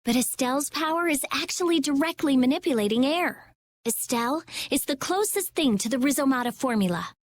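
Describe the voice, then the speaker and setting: Intense, clever teenage mage in a game, high animated voice. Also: female voice, brisk. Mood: intent. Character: fiery, intense teenage girl mage, brainy and stubborn. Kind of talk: anime-style video game dialogue.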